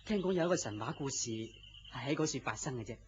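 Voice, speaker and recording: An actor playing a gentle, melancholy man in love, speaking earnestly and theatrically in Cantonese radio drama style. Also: medium pitch, expressive, fast, close to an everyday voice. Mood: storytelling. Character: lovestruck, gentle, self-deprecating melancholy man. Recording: dull lo-fi audio with noise.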